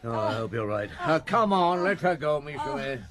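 A man acting in a radio drama as a shrewd, authoritative chief police inspector questioning people in a husky voice. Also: on the high side, big pitch swings, moderate pace, a crowd in the background. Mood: urgent commanding. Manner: probing, authoritative. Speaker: police detective.

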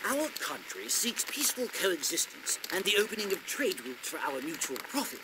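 A man's voice: sits high, big pitch swings, moderate pace, background noise. An actor playing a polite, flustered middle-aged male knight in a video game, hesitant, deferential and earnest. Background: music.

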